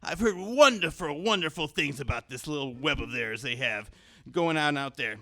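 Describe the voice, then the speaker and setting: A shameless, muddled old quack dentist for a comic radio play. The voice is high, bright and crackly with age. He hems, mutters and repeats himself absent-mindedly. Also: animated, moderate pace. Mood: rambling, muddled.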